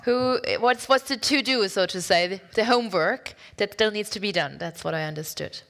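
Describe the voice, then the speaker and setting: A woman's voice: mid-range voice, normal speed, creaky. A woman moderating a symposium panel, prompting speakers and calling on the audience with frequent laughs. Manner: lively, laughing, friendly prompting.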